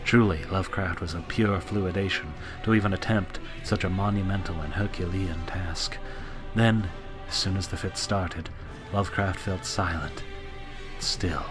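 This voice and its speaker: A man's voice: deep voice, unhurried, music in the background. A man giving dramatic, scripted narration for a horror-style audio drama.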